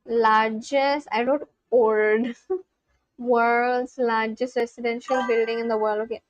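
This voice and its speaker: A young woman with a Delhi Indian English accent, chatting casually with her livestream viewers, reading their comments aloud with quick apologetic asides. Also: on the high side, fairly even intonation, no room sound, highs cut off. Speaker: live streamer.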